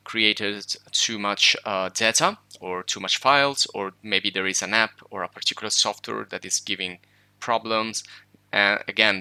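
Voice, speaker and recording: A man with Italian as his first language talks through a computer tutorial in an easygoing, explanatory way, pausing on fillers and fixing his own phrasing. His voice sits fairly low with a gravelly edge and a very bright, sharp tone. The sound is close and dry. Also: no room sound. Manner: relaxed, explanatory, with fillers and self-corrections. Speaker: tech tutorial host. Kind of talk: screen-recorded tutorial walkthrough.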